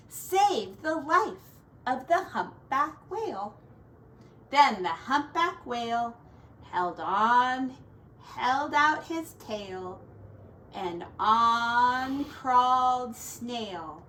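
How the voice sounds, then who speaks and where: A woman's voice: fairly high pitch, bright, forceful tone. A woman teacher reading a picture book aloud to children in a sing-song, dramatic way. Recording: distant mic, faint background noise.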